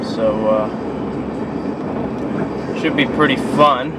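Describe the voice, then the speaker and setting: A man's voice: mid-range voice, very slow. A young man vlogging casually to camera while he drives, rambling with lots of fillers, filmed by a camera on the windshield. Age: young adult. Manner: rambling, many fillers and trailing off. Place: inside a car, driving. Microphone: camera mounted on the front window. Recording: loud road and engine noise. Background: loud car and air conditioning hum.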